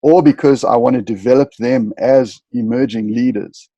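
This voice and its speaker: Gravelly, mellow-voiced man explaining ideas. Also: mid-range voice, moderate pace, no room sound, muffled recording. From southern Africa. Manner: lecturing, dense with terms. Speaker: guest, leadership consultant. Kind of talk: interview answers.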